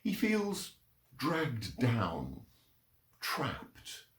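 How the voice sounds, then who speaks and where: Male literary reader, unhurried, widely varied intonation, roomy acoustic. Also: mid-range voice. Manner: measured, expressive storytelling delivery. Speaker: reader of poems and short stories. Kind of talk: literary reading aloud, performed narration. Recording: roomy, echoing sound.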